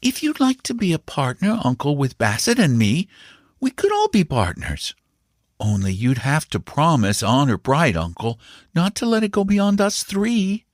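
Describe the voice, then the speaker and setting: A man's voice: a little high, wildly swooping pitch, moderate pace, no echo, studio quality, pitched above the performer's own voice. A man voicing a grave, earnest young boy who shares secrets in a storybook. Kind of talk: storybook character dialogue.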